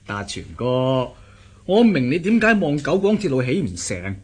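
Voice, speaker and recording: An actor playing a sly, teasing middle-aged adviser in a radio drama, speaking Hong Kong Cantonese. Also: male voice, fairly high pitch, sing-song, unhurried, husky, exaggerated voice. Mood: knowing, teasing.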